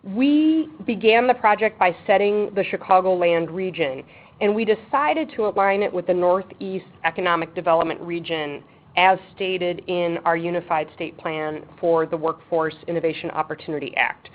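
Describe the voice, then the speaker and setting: A woman chairing a webinar for an advisory board, talking briskly and practically with frequent ums. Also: fairly low pitch. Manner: says she has a loud voice. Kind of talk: webinar presentation. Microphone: speakerphone on a table. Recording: tinny phone line with faint background noise.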